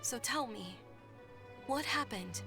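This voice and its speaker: Young noblewoman: caring, polite, soft-spoken, slightly high voice, video game drama with music. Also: animated, slow. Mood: concerned, earnest. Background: clear background music.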